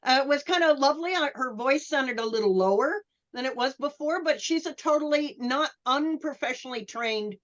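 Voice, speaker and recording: A woman with a fairly high voice talks on a casual panel. Her delivery is halting and full of ums. The sound is dull and lo-fi, like online call audio. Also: animated, fairly bright tone.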